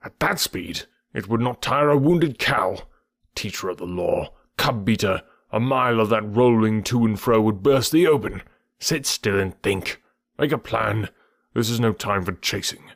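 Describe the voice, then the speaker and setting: A man's voice: on the low side, a little slow, creaky, no echo, clean studio sound. A man doing a stern scornful panther.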